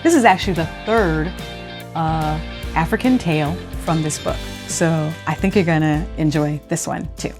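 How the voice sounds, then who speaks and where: A woman hosting a children's storytime, speaking in an expressive, teacherly way as she reads and explains. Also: low-pitched, normal speed, music in the background. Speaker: host of a children's read-aloud storytime show. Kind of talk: expressive storybook reading with scripted instructions.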